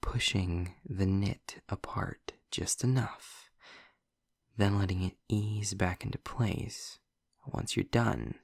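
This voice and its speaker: Sardonic male demon for audio drama, played by a man: low, husky, snarky, unhurried. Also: fairly even intonation, no echo, clean studio sound, full character voice. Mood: measured, intent. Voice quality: gravelly, husky low voice with breathy edges. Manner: sarcastic, deliberate, drawn-out phrasing. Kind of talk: scripted audio roleplay addressed to the listener.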